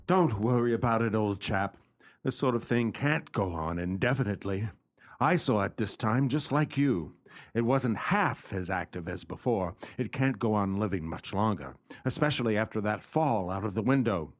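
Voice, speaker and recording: A man acting in a radio play as a gentleman's loyal, practical companion, speaking in an emphatic, theatrical way. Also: fairly low pitch, lively intonation, moderate pace. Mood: reassuring.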